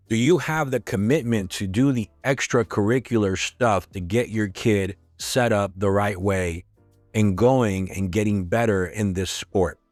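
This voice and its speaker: A middle-aged man with a hoarse voice hosting a podcast, talking frankly in a casual, conversational way. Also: fairly low pitch, no room sound. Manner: frank, conversational, sprinkled with swearing. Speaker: podcast host. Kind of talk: casual solo podcast talk.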